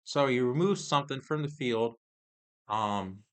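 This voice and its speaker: A man casually reviewing trading cards, reading card text aloud in a halting, hesitant way, his voice getting hoarse. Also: mid-range voice. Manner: halting, hesitant, many pauses and restarts.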